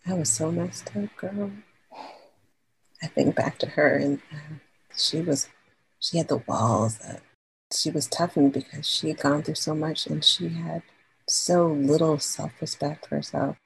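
A woman guest on a podcast, an animated storyteller who talks in slang and acts out what people said. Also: deep voice, creaky, a little hiss or hum, low-quality recording. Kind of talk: casual interview chat.

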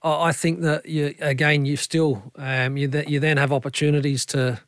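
Higher-voiced male football pundit. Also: level tone, normal speed, no room sound, clean studio sound. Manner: stammering, questioning. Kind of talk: sports radio analysis.

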